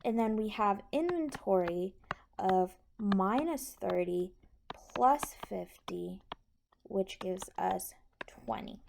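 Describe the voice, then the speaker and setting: A woman's voice: mid-range voice, lively intonation, takes it slowly, vocal fry, darkish tone, slight background noise. A woman instructor patiently explaining a tutorial step by step, often checking that the listener is following. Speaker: accounting instructor.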